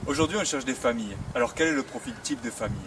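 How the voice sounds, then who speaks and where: A man's voice: medium pitch, racing. A man who heads a school, making an upbeat, persuasive appeal to families. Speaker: school head. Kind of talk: promotional appeal to camera. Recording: distant mic, street noise.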